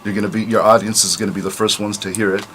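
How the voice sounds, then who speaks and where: A man with a low but bright, forceful voice talks about his music as a radio guest. He is laid back and hesitant, filling gaps with many uhs. Faint hiss lies under the studio sound. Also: little pitch movement, very fast. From United States. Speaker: rapper and producer. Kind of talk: radio interview chat.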